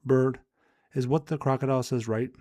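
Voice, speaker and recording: An earnest young boy from a storybook fable, voiced by a man. He pleads his case at an ordinary adult male pitch, recorded dry. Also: a little fast, a little mellow, no echo, lightly acted. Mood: wary, questioning.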